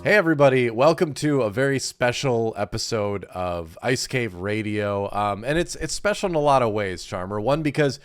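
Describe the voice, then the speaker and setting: A man's voice: medium pitch, moderate pace, hardly any pauses, smooth, faint music behind. A man in his thirties co-hosting a casual podcast, nasal and congested from a cold, rambling with fillers and laughs. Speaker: podcast co-host. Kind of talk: casual chat.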